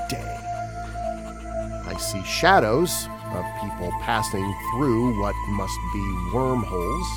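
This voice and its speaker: An actor playing a sinister professor stranded alone in a desolate place, speaking in a halting, dramatic way for an audio play. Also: male voice, fairly low pitch. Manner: slow, halting, dramatic delivery. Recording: dramatic new-age music behind the voice.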